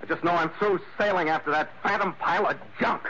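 An actor playing a tough, sardonic sea captain in a 1940s radio adventure, speaking tersely. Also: male voice, high-pitched, quick pace, sharp tone. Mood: bitter, fed up. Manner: terse, sardonic, hard-boiled. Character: tough, cynical sea captain, hard-boiled adventure hero. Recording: noisy, dull 1940s radio recording.

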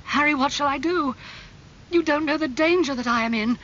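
A man voicing an anguished, impulsive young gentleman in an audiobook, earnest and pleading in manner. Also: high voice, big pitch swings, moderate pace, lo-fi sound, slight background noise, full character voice. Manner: emotional, pleading.

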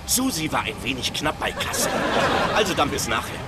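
An actor playing a cheerful, cocky sitcom husband with an excitable, boastful manner. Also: male voice, medium pitch, moderate pace, a loud crowd, heavy background noise, close to an everyday voice. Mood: cheerful, breezy. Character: cheerful, cocky courier husband turned ice-cream man. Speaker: sitcom character. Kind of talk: dubbed sitcom acting. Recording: loud music and street sounds behind.

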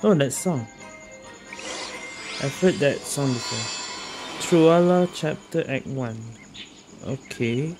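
A man's voice: mid-range voice, slow pace, long pauses. A man giving casual commentary as he plays through a video game. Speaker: gaming streamer. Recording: game music behind voice.

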